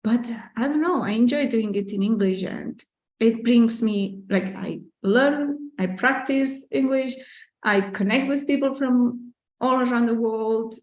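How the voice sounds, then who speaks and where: A woman's voice: a little high, unhurried. Woman, Catalan accent, native Catalan speaker, casual live Q&A host, chatty, candid, echoey room. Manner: chatty and candid, with frequent "like" and "you know", small laughs. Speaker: artist and business owner hosting a live Q&A. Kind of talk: casual live-stream Q&A, reading viewer questions.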